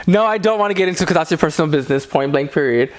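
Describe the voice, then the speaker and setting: A man in his mid-twenties chatting as a podcast host in a casual, gossipy way, animated and sassy with lots of slang. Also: on the high side, rapid-fire.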